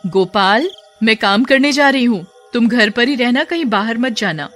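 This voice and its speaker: A woman voicing a poor, loving, worried mother in a moral story, gentle and plaintive. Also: on the high side, lively intonation, normal speed, soft background music. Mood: instructing. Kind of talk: animated moral story dialogue.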